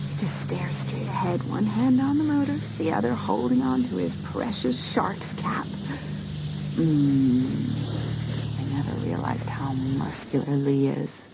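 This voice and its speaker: A woman's voice: low-pitched, gravelly, full character voice, poor audio. An actress playing a smitten, self-conscious teenage girl in a dramatised audiobook, hesitant and given to musing. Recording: loud music behind the voice.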